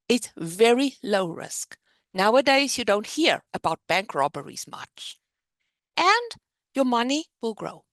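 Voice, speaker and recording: A middle-aged woman with a South African accent, teaching the basics of investing in an animated, explanatory way on a webinar. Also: mid-range voice, creaky, no room sound. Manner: animated, explanatory teaching with a lively sing-song rise and fall. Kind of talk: webinar presentation.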